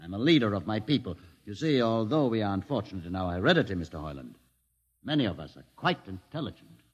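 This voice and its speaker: A husky, courteous mutant leader, dull vintage sound. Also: male voice, fairly low pitch, sing-song. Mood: composed, courteous. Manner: formal, measured, politely condescending. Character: calm, erudite mutant leader, courteous and sardonic. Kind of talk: 1950s radio drama acting. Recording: dull vintage radio recording.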